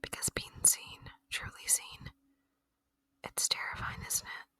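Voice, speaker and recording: A woman voicing a gentle, lonely, curious alien in a soft, wistful, intimate ASMR roleplay whisper. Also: slow pace. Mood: musing, intimate.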